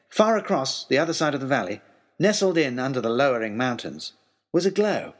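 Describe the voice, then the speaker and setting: An English man tells a period adventure tale in the first person. His voice is fairly high and lightly husky, with very lively intonation. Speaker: storyteller.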